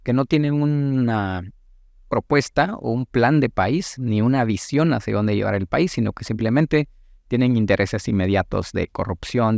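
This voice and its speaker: A Guatemalan man in his late thirties giving thoughtful, halting answers as a politician being interviewed, with formal wording. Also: on the low side, vocal fry, darkish tone, dry recording, clean studio sound. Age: late 30s. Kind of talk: reflective interview talk.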